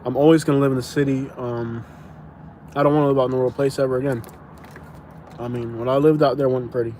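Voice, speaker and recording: A man's voice: medium pitch, fairly even intonation, fast talker, dark tone, a little hiss or hum. A young man from Florida, casually answering viewer questions on a live stream, rambling and restarting his sentences, calling people "bro". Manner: rambling, repeats himself and restarts, says "bro".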